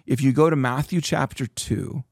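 A man hosting a casual church podcast, talking with animated, preachy emphasis and reading scripture aloud. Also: medium pitch, moderate pace, dry recording, studio quality. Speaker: pastor and podcast host.

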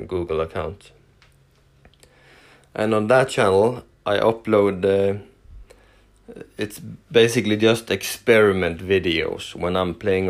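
A Norwegian-accented man with a low, deep voice talks through his first solo podcast at an unhurried pace. He speaks English as a second language and fills his pauses with frequent fillers and false starts. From Norway. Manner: hesitant, many fillers and restarts. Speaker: podcast host.

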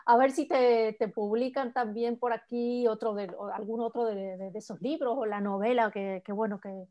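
A chatty Argentine woman speaks a little high over a video call link. She is friendly and loose, often restarting and looping back mid-sentence as she hosts a book club chat. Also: fairly even intonation, moderate pace, mellow, highs cut off. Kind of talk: casual chat.

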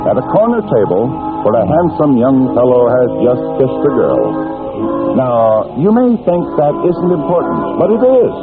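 A man's voice: mid-range voice, natural voice. Actor as a droll old-time radio storyteller, man. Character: wry storyteller narrating a mythical-kingdom romantic comedy. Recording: tinny old radio recording, loud music behind.

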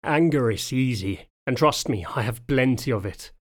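A man's voice: medium pitch, normal speed, lightly acted. A man voicing a big, lean, older wolfhound knight in an audiobook, formal and restrained, with strained emotion underneath. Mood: anguished, restrained.

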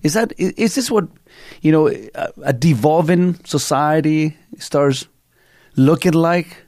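A middle-aged man from Chile with a Chilean Spanish accent, hosting a talk radio show in an animated, rambling storytelling way. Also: a little high, measured pace, no echo, clean studio sound. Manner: animated storyteller, many fillers and restarts. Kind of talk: casual opinionated talk radio chat.

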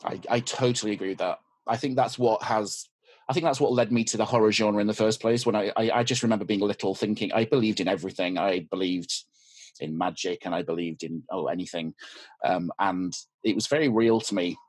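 A man's voice: fairly low pitch, fairly even intonation, fairly quick, darkish tone, breathy edge, no room sound. A man with an English accent chatting casually as a podcast guest, hesitant and often restarting his thoughts. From England. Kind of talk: casual interview chat.

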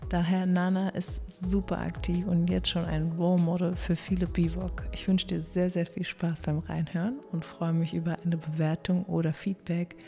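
A woman's voice: lowish voice, little pitch movement, moderate pace, creaky, hardly any pauses, dark tone, big-room sound, faint music behind. A woman hosting a podcast, introducing the episode and chatting casually with her guest, nudging her on with short affirmations. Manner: friendly, encouraging, many affirming murmurs. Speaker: podcast host.

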